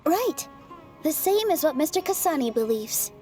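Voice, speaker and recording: An actress voicing a young female theater dancer in a video game, speaking in a soft, sincere way. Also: high-pitched, very animated, moderate pace, soft background music. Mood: reminiscent. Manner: soft, sincere and warm-hearted.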